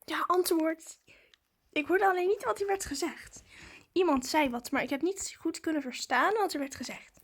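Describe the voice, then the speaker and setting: A woman's voice: very high voice, moderate pace. A woman chatting informally to her viewers in a casual vlog, narrating what she is doing as she goes. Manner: informal, chatty, narrates own actions as they happen. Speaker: vlogger.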